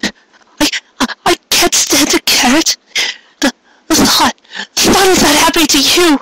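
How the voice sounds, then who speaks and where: A narrator putting on a high, stammering, distressed young mare. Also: background noise. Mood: anguished. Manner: stammering, halting, pleading. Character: distressed, devoted young mare baker, pleading, sniffling.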